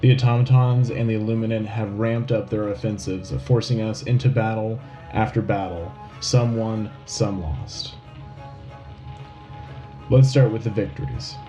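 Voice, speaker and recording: A man playing a solemn, patriotic wartime radio news anchor in a scripted dramatic newscast, with a grave, rousing, measured delivery. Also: fairly low pitch, fairly even intonation, mellow, faint music behind, natural voice, rough recording. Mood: grave, urgent. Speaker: radio host.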